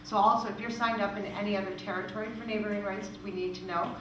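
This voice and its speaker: A woman presents and answers questions in an informal, explanatory way. The room is very echoey and she sounds distant from the mic, with clear noise behind her. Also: medium pitch, steady tone, fast. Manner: conversational, explanatory. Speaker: music royalty organisation manager presenting. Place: meeting room in front of an audience.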